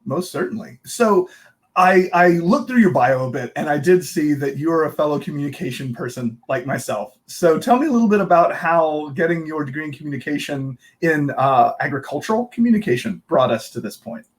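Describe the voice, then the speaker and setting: A man's voice: fairly high pitch, lively intonation, a little fast. A man hosting a casual interview, chatty and rambling, often correcting himself mid-sentence. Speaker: interview host, co-working board secretary.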